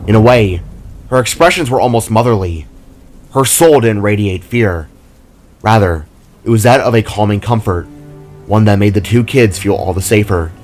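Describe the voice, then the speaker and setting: A man's voice: lowish voice, moderate pace, darkish tone. Man narrating a fantasy story over music. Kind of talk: scripted dramatic storybook narration.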